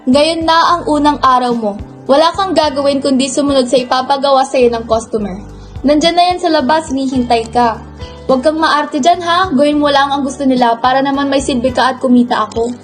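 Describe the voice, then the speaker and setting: Woman doing mean bossy madam who runs a bar, high pitched, curt, greedy, radio drama. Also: a little fast, soft background music, natural voice. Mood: impatient, commanding. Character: cold, domineering, greedy female bar owner and guardian.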